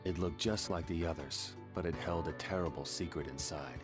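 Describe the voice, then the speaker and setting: An actor playing a gruff, weary, middle-aged surgeon with a dry, grumbling manner in an animated game drama. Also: male voice, deep voice, moderate pace, over music, lightly acted. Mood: somber, confessing. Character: gruff, weary, guilt-ridden cynical male surgeon.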